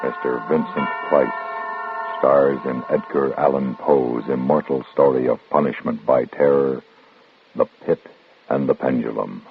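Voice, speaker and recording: A man's voice: a bass voice, fairly even intonation, unhurried, creaky, narrow, tinny audio. A man announcing an old radio thriller from a script, solemn and dramatic. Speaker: show announcer. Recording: band-limited old radio recording. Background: music.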